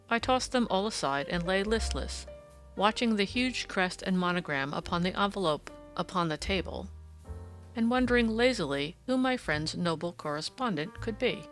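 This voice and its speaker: A woman's voice: lowish voice, fairly bright tone. A woman reading a classic story aloud as scripted audiobook narration.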